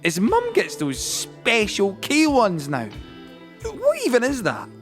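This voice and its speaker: A man's voice: very high-pitched, huge pitch swings, slow, heavily acted. An actor playing a young Scottish man who tells a story in a confiding, colloquial way, as a dramatic monologue in an audio play. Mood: incredulous, animated. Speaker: actor-narrator. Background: music score.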